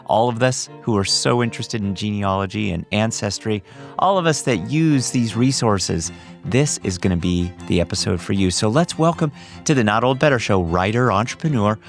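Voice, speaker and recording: A male podcast host reading a measured, emphatic introduction, then chatting with his guest. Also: fairly low pitch, animated, creaky, soft background music. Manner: measured, emphatic rhetorical delivery. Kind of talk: scripted intro then interview chat.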